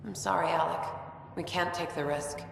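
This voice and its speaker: A woman's voice: on the low side, fairly even intonation, normal speed, vocal fry. An actress playing a firm, skeptical female ambassador in a video game cutscene, formal and curt. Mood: firm refusal. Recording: very echoey hall, faint noise.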